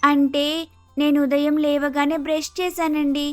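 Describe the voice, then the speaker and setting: A woman's voice: high voice, little pitch movement, a little slow, darkish tone, soft background music. A woman playing a polite, naive young daughter-in-law with an Andhra Telugu accent in a story cartoon, hesitant and easily confused. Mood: polite, innocent. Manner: deferential, hesitant, innocent.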